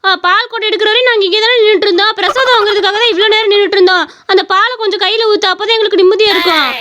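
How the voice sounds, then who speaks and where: A woman voicing a bossy, theatrical village woman in an animated comedy, insistent, with rural Tamil Nadu speech. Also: very high voice, racing. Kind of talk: animated comedy story voice acting.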